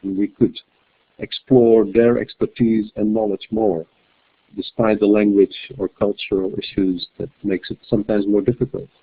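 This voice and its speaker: A man speaking as a webinar panelist in a measured way, often restarting his sentences. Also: lowish voice, little pitch movement. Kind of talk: webinar discussion. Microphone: computer mic on a web call. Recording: tinny phone-like web call audio.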